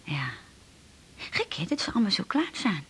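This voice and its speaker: An actress playing a scatterbrained, lonely woman in her forties in a radio drama, with a husky voice and a rambling, self-deprecating, colloquial way of talking. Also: mid-range voice, sing-song, normal speed. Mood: dreamy, chatty. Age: 40s. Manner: rambling, colloquial, tag questions. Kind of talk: naturalistic radio drama dialogue.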